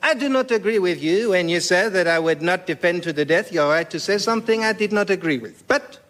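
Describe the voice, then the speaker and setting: An actor playing a grand, pompous French philosopher with an exaggerated French accent in a radio comedy sketch. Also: male voice, sits high, lively intonation, brisk, a bit bright. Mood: lofty, placating. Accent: exaggerated put-on French. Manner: flamboyant, florid, pompous.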